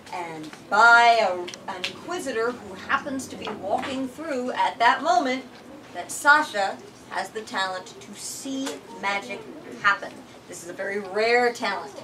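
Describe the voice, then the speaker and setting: A woman giving a theatrical, expressive reading aloud in a bookstore, adding her own commentary. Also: fairly high pitch, far from the mic. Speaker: reader leading a bookstore literary talk.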